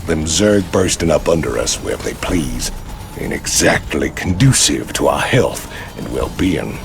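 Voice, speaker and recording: Gravelly, very deep outlaw marine. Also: male voice, big pitch swings, unhurried, heavily acted. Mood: sardonic. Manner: folksy, sardonic. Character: burly, cocky outlaw marine, sardonic and rough. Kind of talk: dramatic video game acting. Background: music.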